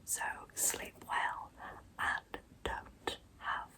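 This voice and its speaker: Whispering woman, bright ASMR storyteller. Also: drawn-out words. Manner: hushed, whispering throughout. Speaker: ASMR video host.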